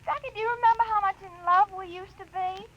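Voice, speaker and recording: An actress playing a clingy, syrupy old flame, gushing endearments in 1950s radio comedy style. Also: female voice, very high voice, quick pace. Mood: cooing, clingy. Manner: cooing, gushing, babyish endearments. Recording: muffled, noisy vintage radio recording.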